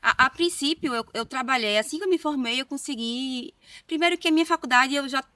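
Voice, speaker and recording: Woman w a pernambuco accent, chatty, highish voice, sounds a bit off mic in a dry room. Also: fairly quick, sharp tone, no room sound, far from the mic. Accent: Pernambuco Brazilian Portuguese. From Recife, Northeast Brazil. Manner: chatty, tag questions, many restarts. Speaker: nurse, interview guest. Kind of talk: casual interview chat.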